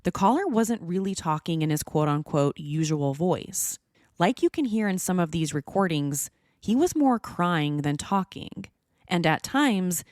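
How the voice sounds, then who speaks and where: A woman's voice: lowish voice, no room sound, clean studio sound. A woman hosting a podcast, reading scripted true-crime narration. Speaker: podcast host.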